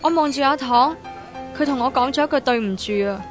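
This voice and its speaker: An actress plays a young woman, freshly dumped and defiant, in a Cantonese radio drama. Her voice is high and emphatic, full of emotion, with music clearly underneath. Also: brisk, lightly acted. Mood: bitter, hurt.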